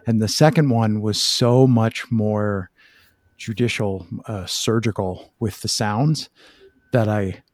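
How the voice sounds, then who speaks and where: A man's voice: fairly low pitch, takes it slowly, a little mellow, no echo, clean studio sound. A man hosting a podcast interview, talking in a casual, thoughtful, conversational way.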